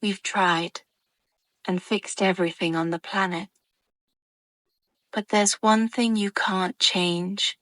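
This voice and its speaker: A woman leading a guided meditation with soothing, calm narration in short, measured phrases and gentle pauses. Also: medium pitch, dry recording.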